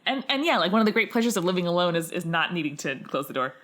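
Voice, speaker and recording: A woman's voice: lowish voice, big pitch swings, racing, a bit bright. A woman co-hosting a podcast with casual, emphatic banter, swearing freely and repeating words for effect. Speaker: podcast co-host.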